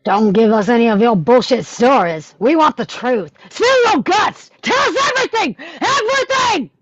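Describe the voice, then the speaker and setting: A woman's voice: sits high, sing-song, moderate pace, slightly rough, no echo. Dull, dry-sounding woman reading script. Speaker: reader at a script table read.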